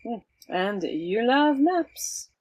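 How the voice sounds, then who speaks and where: A woman's voice: fairly high pitch, very animated, extremely slow, darkish tone, husky, dry recording, heavily acted. A woman playing a cool, wry swashbuckling vigilante with a sly, teasing, theatrical manner in an audio drama. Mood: musing.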